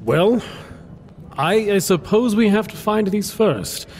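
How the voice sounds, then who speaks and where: A man's voice: high-pitched, animated, takes it slowly, a little mellow, slight background noise. A man playing a thoughtful, musing strategist at a fantasy council in an audio drama.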